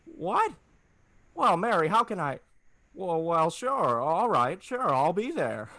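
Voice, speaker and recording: A man's voice: high-pitched, very animated, slow, sharp tone, slight background noise, exaggerated voice. A man playing an earnest, flustered small-town family man in a 1940s radio drama, stammering in a folksy way.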